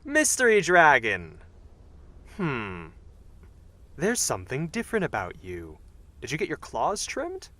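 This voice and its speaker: A man voicing a cocky, charming young dragon assassin in a teasing, flippant way for an animated fan dub. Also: fairly high pitch, a little slow, long pauses, heavily acted. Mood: playful, teasing.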